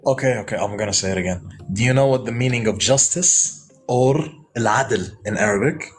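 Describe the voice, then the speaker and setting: A man's voice: fairly low pitch, fairly quick, soft background music. A man in a live debate, pressing with probing, insistent questions. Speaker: debate participant.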